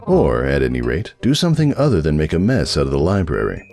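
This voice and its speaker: A man's voice: extremely low pitch, wildly swooping pitch, quick pace, darkish tone, faint music behind. A man reading a scripted comic story as narrator in a wry, dramatic way. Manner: wry, theatrical. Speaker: narrator, fanfiction reader.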